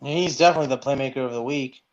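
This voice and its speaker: A man's voice: medium pitch, rapid-fire. A man co-hosting a sports podcast in casual chat. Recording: dull lo-fi audio.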